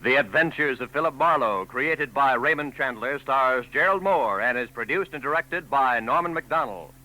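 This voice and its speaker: A man announcing credits and commercials on a 1940s network radio broadcast in a crisp, formal delivery. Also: medium pitch, animated, moderate pace, rough recording. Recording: old radio broadcast with faint music.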